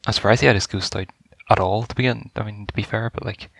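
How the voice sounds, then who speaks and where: Male gaming commentator, casual live reactions, fairly low pitch, creaky voice. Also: fairly even intonation, quick pace, a bit bright. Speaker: gaming video creator.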